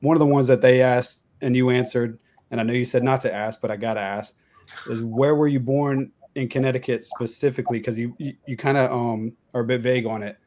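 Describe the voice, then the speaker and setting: A man from Ohio with a warm, mellow voice hosts an interview show. He feels his way through each question and pauses to find the next word. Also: mid-range voice, steady tone. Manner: halting, searching for words as he asks. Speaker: podcast host.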